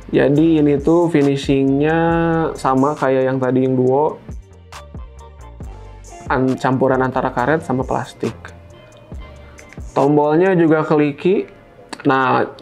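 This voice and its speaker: Man, mid-pitched voice, casual review host, informal step-by-step explaining, background music. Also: a little mellow. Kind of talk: casual unboxing presentation to camera.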